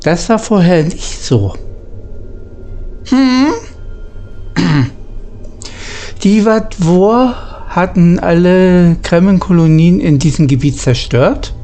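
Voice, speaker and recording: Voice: medium pitch, expressive, slow pace, long pauses, warm tone, soft background music. A player reading game dialogue aloud, with casual remarks in between.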